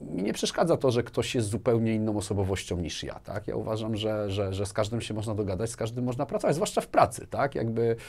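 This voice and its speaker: A man's voice: lowish voice, big pitch swings, fast talker, hardly any pauses, a little mellow. Man chatting as an interview guest. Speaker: interview guest, business manager. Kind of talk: conversational interview, personal anecdotes. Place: small furnished studio, indoors. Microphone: lapel mic.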